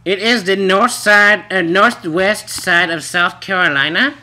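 Guy doing a naive pushy immigrant wife, high bright voice, halting broken english, prank call. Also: full character voice. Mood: halting, earnest.

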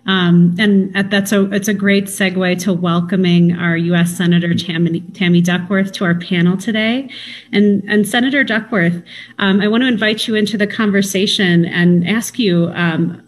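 A woman in her thirties moderating a webinar panel over a video call, asking polite, quick questions. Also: mid-range voice.

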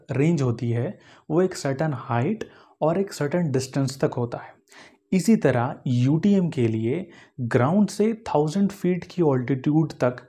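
A man's voice: a little high, dark tone. A man in his twenties with an Indian accent, explaining step by step as an instructor in a tutorial, mixing in English technical terms. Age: 20s. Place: indoor green-screen studio.

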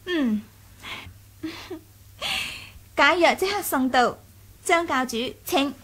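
A woman playing a sly, self-assured young noblewoman in a radio drama, playful and deliberate, with a Hong Kong Cantonese accent. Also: high-pitched, animated, fairly bright tone. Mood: composed.

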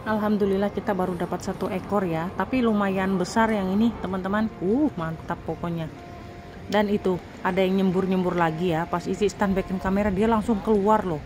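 A woman's voice: mid-range voice, fairly even intonation, fairly quick, background noise. A woman narrating her own foraging vlog as a voice-over, chatting with viewers as friends. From Indonesia. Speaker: vlog host. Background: background music.

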